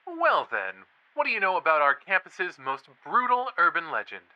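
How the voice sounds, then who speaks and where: A man's voice: on the high side, wildly swooping pitch, normal speed, dull audio. A man playing an eager young college podcaster and ghost believer in an audio drama, with a showy, playful delivery. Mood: playful, intrigued. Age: young adult. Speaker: podcast co-host character.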